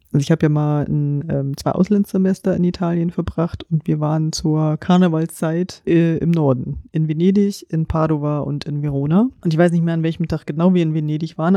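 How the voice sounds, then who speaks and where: A woman's voice: deep voice, dark tone, no echo. A woman chatting casually, recounting memories and bits of background in an everyday conversational way.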